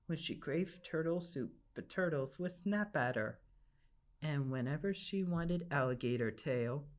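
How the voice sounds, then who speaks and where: A woman narrating a children's storybook aloud in an enthusiastic, emphatic way. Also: sits low. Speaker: storybook reader.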